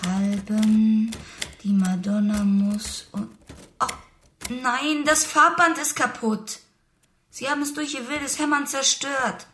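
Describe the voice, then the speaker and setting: A woman's voice: on the high side, fairly even intonation, takes it slowly, a bit bright. An actress playing an anxious, plaintive female typist in a radio drama. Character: anxious female typist taking a struggling writer's dictation. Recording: typewriter clicks and noise behind.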